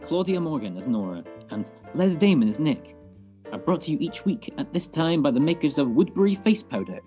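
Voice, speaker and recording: A polished vintage radio pitchman, played by a man, smooth and emphatic. His intonation is animated, over music, in dull lo-fi sound. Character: polished male 1940s radio sponsor announcer. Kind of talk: old-time radio advertisement read.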